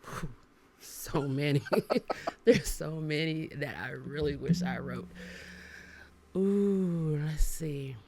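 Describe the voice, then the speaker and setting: A woman singer, a guest on a podcast, talking in a relaxed, chatty way and laughing easily. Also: low-pitched, takes it slowly, vocal fry, long pauses, a little hiss or hum. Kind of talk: casual interview chat.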